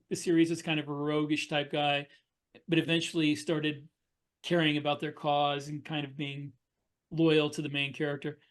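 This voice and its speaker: A man explaining and telling stories casually as a podcast co-host, halting at times as he works out his points. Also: fairly high pitch. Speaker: podcast co-host and fiction author. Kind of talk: casual storytelling and discussion.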